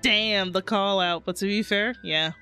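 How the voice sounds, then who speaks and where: Giggly woman streamer, unhurried. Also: medium pitch, over music. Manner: giggly, chatty. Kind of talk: let's play reactions.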